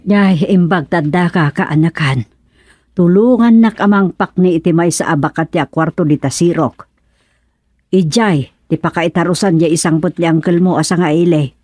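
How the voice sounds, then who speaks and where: A woman's voice: lowish voice, normal speed, a little mellow, no echo, soft background music, natural voice. A woman playing a fussing, inquisitive village wife in a radio drama, questioning and exclaiming. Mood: concerned.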